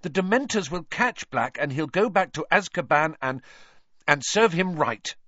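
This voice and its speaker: A man's voice: mid-range voice, sing-song, normal speed, fairly bright tone, husky, no echo, muffled recording, voice pushed up. A man voicing an anxious, bookish teenage girl in a novel, with urgent, panicky pleading.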